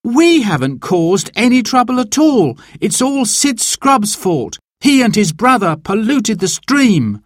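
A man's voice: high-pitched, normal speed, hardly any pauses, dry recording, clean studio sound, pitched above the performer's own voice. A man voicing an earnest, defiant boy in a children's story, emphatic and insistent.

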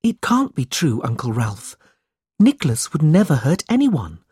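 A man's voice: sits high, big pitch swings, a little slow, a little mellow, breathy edge, no room sound, studio quality, pitched above the performer's own voice, heavily acted. A man voicing a loyal, anxious young woman in a storybook, pleading and emotional.

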